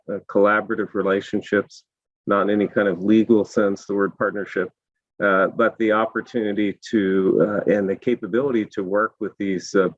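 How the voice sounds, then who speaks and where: A man with a slightly hoarse, low voice talks through his answer on a panel, pausing often on small fillers. The sound is dry and a little dull, as if from a modest online call. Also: no room sound. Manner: measured, many fillers. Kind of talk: panel discussion.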